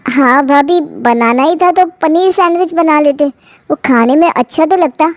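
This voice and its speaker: Woman doing sulky picky young nanad, super high voice, sarcastic cartoon whining, no echo. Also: a little slow. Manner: pouty, exasperated. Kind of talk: cartoon family story acting.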